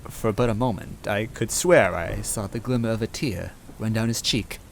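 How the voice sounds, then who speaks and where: A man reading a scripted comic story as narrator, with wry, deadpan asides. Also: fairly low pitch, normal speed, vocal fry, poor audio. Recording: rain noise and game music behind.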